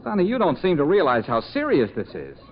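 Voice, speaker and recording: A man's voice: a little high, wildly swooping pitch, a little fast, a faint crowd. An actor playing an anxious, lovesick, self-pitying man in a 1940s radio drama, agitated and exasperated. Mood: worried. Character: anxious lovesick male private detective, self-pitying and flustered. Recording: dull, noisy old radio recording.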